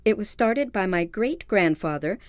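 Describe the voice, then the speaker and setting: A woman's voice: medium pitch, expressive, normal speed, no echo, natural voice. A woman acting in a radio-style mystery drama as a polite, anxious store heiress, speaking in a formal, earnest, dramatic way. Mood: worried, earnest. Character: polite, anxious female department store heiress seeking help. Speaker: actor in an audio drama.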